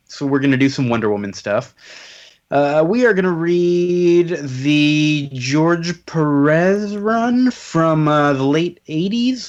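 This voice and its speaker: A man's voice: fairly high pitch, highs cut off. A man hosting a comic book podcast, chatting casually and jokily with lots of fillers. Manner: laid-back, jokey, rambling with many fillers. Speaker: podcast host. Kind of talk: casual chat.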